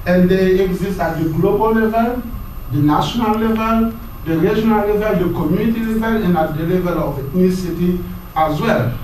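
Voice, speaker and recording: A man giving a university lecture, talking in a halting way and often repeating words as he searches for the next one. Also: high-pitched, a little mellow, slight background noise. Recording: very echoey room, mic far off.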